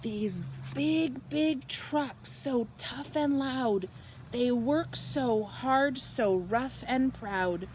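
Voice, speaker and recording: A woman reading a picture book aloud to young children, expressive and animated, drawing out her words and softening for bedtime lines. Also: high voice, slight background noise. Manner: slow, animated delivery with drawn-out words and hushed, whispered good nights. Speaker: children's storybook reader.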